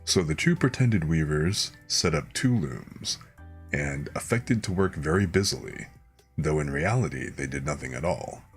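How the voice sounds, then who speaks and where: A man hosting a bedtime story stream, speaking in a relaxed ASMR storytelling style. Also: very deep voice, measured pace, breathy edge, soft background music.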